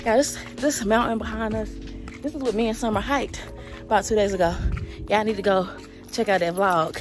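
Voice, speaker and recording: A woman's voice: medium pitch, fairly even intonation, fairly quick. A young woman filming a casual travel vlog on a phone at arm's length, chatting straight to her viewers from a car and outdoors. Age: young adult. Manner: chatty, addresses viewers directly. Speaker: vlogger. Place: car and outdoor mountain spots. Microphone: phone held at arm's length. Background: background music.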